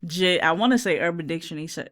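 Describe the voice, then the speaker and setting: This is a podcast co-host from Jamaica with no accent, chatting in a lively and casual way. They talk in quick bursts, slip into slang and keep the conversation moving. Their voice sits at a middle pitch. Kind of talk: casual chat.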